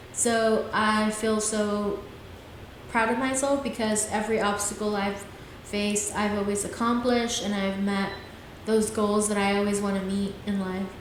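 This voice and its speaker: Echoey young woman podcasting solo. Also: mid-range voice. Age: 20s. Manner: earnest, rambling, frequent "things like that". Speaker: podcast host. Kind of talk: casual solo podcast talk. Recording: very echoey room, faint hiss.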